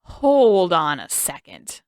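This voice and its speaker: A woman's voice: on the low side, big pitch swings, takes it slowly, a little mellow, clean tone, no room sound. A brash teenage boy in a fantasy audiobook, put on by a woman narrator. He blusters and scoffs in disbelief, with a dry, close sound. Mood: incredulous, scornful. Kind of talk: audiobook character dialogue.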